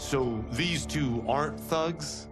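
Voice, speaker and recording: A man's voice: a little high, slow, music in the background. An actor playing a brash young martial artist in a video game, boastful and skeptical in his delivery. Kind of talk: video game cinematic acting.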